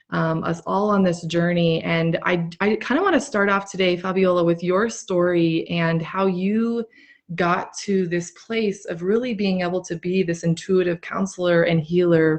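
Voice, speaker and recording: A woman's voice: lowish voice, fairly even intonation, measured pace. A woman hosting a casual live interview, speaking earnestly in long, meandering sentences. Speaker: host of a live interview show. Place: remote online live video call.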